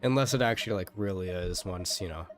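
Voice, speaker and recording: A man with a fairly low voice gives casual live commentary on a game, rambling as he thinks aloud. Game music plays clearly behind him. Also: fast. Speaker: game streamer.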